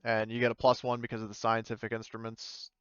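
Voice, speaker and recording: A dry, slightly muffled recording of a man with a smooth, clean voice. He is a game master improvising descriptions, tentatively rewording as he goes. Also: lowish voice, monotone, fast, dry recording. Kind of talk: improvised tabletop roleplay narration.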